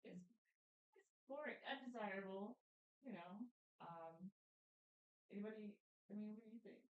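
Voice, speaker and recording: A woman's voice: medium pitch, moderate pace, echoey room, phone-quality sound. A woman in her late thirties, a guest on a panel chat show, talking in a chatty, upbeat way with plenty of fillers. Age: 30s. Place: studio.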